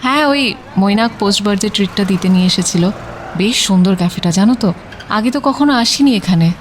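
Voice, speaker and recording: A woman's voice: mid-range voice, animated, a little fast, a little hiss or hum, close to an everyday voice. A woman playing a young professional, a bantering, defensive and devoted girlfriend in an audio story. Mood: affectionate, probing. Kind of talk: audio story dialogue in casual Bengali.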